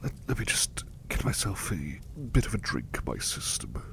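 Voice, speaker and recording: A man voicing a sickly young male butler, speaking in a soft, whispery, courteous way close to the mic while resting in bed unwell. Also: extremely low pitch, huge pitch swings, moderate pace, creaky, slight background noise, exaggerated voice. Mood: gentle, grateful. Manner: courteous and formal, hesitant restarts. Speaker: voice actor. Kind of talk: ASMR roleplay.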